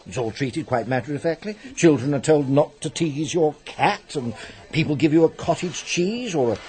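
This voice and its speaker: An actor with an English accent playing a genial, matter-of-fact country vicar in a radio drama, his voice husky. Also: fairly high pitch, expressive, normal speed, a little mellow, lightly acted.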